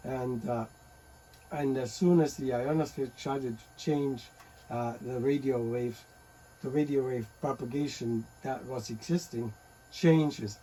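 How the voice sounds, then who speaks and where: Man presenting, halting with many fillers, mellow voice, faint background hiss. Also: mid-range voice, rough recording. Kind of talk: technical conference presentation.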